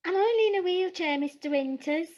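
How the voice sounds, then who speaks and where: A woman's voice: extremely high pitch, brisk, darkish tone, muffled recording. An actress playing a plucky, cheeky young girl who dances, in an audio play.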